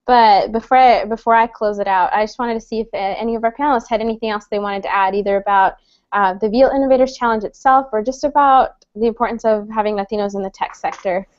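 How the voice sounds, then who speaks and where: A woman's voice: medium pitch, fairly even intonation, fast talker, no echo. A woman in her thirties from El Salvador, moderating an online panel in a friendly, chatty way with many fillers and restarts. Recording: dull lo-fi dry sound.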